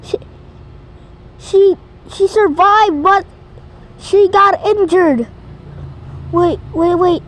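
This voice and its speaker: A woman playing a panicked emergency caller in an amateur skit, halting and repeating words. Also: very high voice, slight background noise, full character voice.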